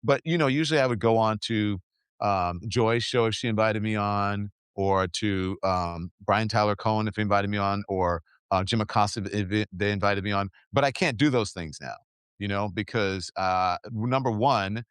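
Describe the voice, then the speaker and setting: A man's voice: on the low side, moderate pace, a bit bright, clear, resonant tone, no echo, clean studio sound. A man hosting his own show, talking earnestly and reflectively in casual conversation, with many fillers and restarts. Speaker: podcast host.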